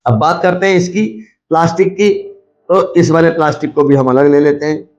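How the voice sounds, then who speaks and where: A man's voice: a little high, steady tone, brisk, a little mellow, lo-fi sound. A man giving a hands-on demonstration, explaining step by step and repeating his points as he instructs. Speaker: video host, scrap recycler.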